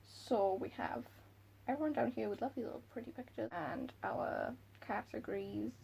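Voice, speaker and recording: A woman's voice: on the high side, normal speed, creaky, darkish tone, slight background noise, rough recording. A young woman with a Welsh accent chatting to camera about books at home, giggly and self-deprecating. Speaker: book vlogger. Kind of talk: casual chat to camera. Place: room at home by a window.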